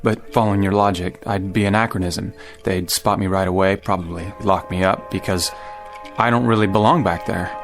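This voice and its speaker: An actor playing a skeptical, logical American gentleman in a radio drama, arguing earnestly and pedantically. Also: low voice, steady tone, moderate pace, music in the background. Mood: skeptical, insistent. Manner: pedantic, argumentative.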